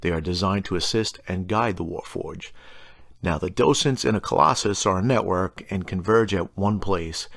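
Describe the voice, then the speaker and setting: A man with a very low voice and plenty of gravelly fry presents fantasy game lore. He explains it from a script and slips in informal, wry asides. The sound is close and dry, with very little room. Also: no echo. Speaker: tabletop gaming lore presenter. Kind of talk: scripted narration with casual asides.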